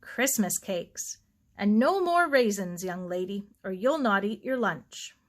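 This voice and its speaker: A woman voicing a sensible middle-aged aunt, kindly but brisk, with a measured, gently chiding manner. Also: mid-range voice, animated, lightly acted.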